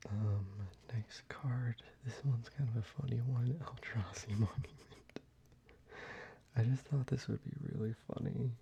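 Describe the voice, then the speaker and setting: A man whispering softly into a close microphone in a soft-spoken ASMR video, with quiet chuckles along the way. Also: medium pitch, steady tone, a little slow, gravelly, slight background noise. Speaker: ASMR creator.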